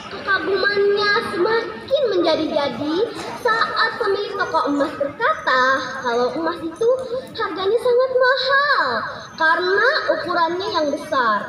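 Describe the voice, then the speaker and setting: A woman with a very high, lively voice narrates a storybook tale aloud. Her pitch moves up and down a good deal as she reads. She is recorded in an echoey room with noise around her. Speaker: storyteller reading a fable aloud. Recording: echoey, noisy room with background crowd.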